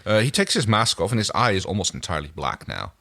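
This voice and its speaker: Low-voiced man in a dry studio sound. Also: big pitch swings, quick pace, pushed and bright, no room sound. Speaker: podcast co-host. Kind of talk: casual episode recap chat.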